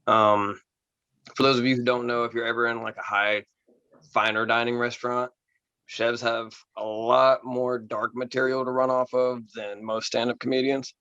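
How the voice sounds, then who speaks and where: A man from Florida doing a stand-up set with a calm, smooth, self-deprecating delivery over a video call. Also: medium pitch, moderate pace, dry recording, dull audio. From Florida, USA. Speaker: stand-up comedy contestant. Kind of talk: stand-up set, then taking feedback.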